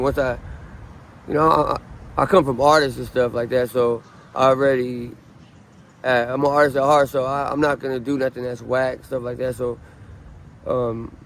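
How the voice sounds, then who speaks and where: A man's voice: medium pitch, moderate pace, vocal fry, rough recording. Laid-back man rambling through a story. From Southern California. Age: 30s. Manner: relaxed, rambling, many ums and restarts. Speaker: interviewee. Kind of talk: casual street interview storytelling. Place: outdoors on a city street. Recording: faint street noise outdoors.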